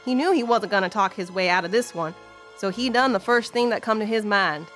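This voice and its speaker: A woman's voice: medium pitch, fairly quick, fairly bright tone, faint music behind, natural voice. A woman playing a folksy, wry Old West storyteller, narrating an audio drama. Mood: wry storytelling. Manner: folksy, colloquial.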